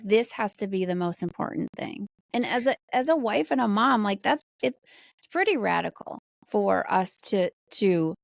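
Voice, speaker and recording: An American woman speaking as a podcast guest in casual conversation, candid and animated, often acting out little quotes. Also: medium pitch, gravelly, dry recording, clean studio sound.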